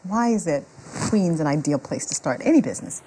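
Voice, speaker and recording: Woman radio interviewer with a lowish voice, encouraging, says lots of yeahs. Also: lively intonation, a little fast, mellow, slight background noise, poor audio. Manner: encouraging, frequent affirmations. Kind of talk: interview questions and backchannel.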